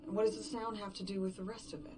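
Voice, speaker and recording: A woman acting an exhausted, frightened survivor in a horror audio drama monologue, haltingly thinking aloud and repeating herself. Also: medium pitch, flat delivery, noisy background, lightly acted. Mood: exhausted, bewildered. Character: exhausted, frightened woman game writer, alone after everyone vanished.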